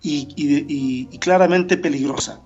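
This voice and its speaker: A man's voice: a little high, measured pace, darkish tone. A man, a pastor being interviewed on the radio, who talks reflectively with frequent fillers and restarts. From born in Argentina, raised in Chile. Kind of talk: casual interview over a remote call. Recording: dull lo-fi remote line with faint music.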